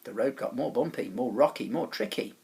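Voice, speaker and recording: Animated man reading a children's storybook, creaky edge. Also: medium pitch. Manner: animated, dramatic pauses and emphasis. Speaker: teacher reading aloud.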